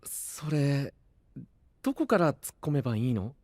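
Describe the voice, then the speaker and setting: A man playing a level-headed young knight in an anime-style voice drama, casual and sceptical, quick with a dry retort. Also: mid-range voice, sing-song, a little mellow, no room sound. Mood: dry, thoughtful.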